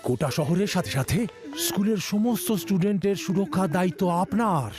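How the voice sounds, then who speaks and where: A man's voice: sits high, expressive, moderate pace, over music. A man playing an authoritative, formal and protective school principal in a cartoon. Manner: formal, declamatory. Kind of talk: cartoon dubbing.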